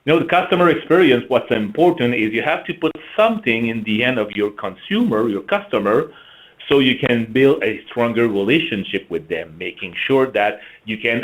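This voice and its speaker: A man with a French-Canadian accent presenting a business webinar, halting with frequent fillers and throat clearing. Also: mid-range voice, fairly bright tone, rough recording. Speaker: marketing executive presenting a webinar.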